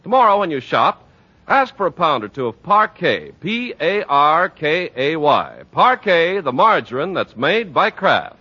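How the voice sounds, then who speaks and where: A man's voice: a little high, very animated, a little slow, sharp tone, a little hiss or hum. An American man announcing and advertising in scripted 1940s radio style, with an emphatic, polished sales delivery. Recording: dull 1940s radio recording.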